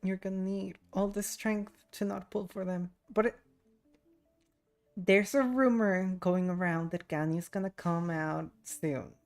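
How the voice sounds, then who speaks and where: Chatty young woman streamer, creaky voice. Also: medium pitch, fairly even intonation, measured pace, a little mellow, faint music behind. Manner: chatty, rambling, musing aloud. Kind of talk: casual livestream chat while gaming.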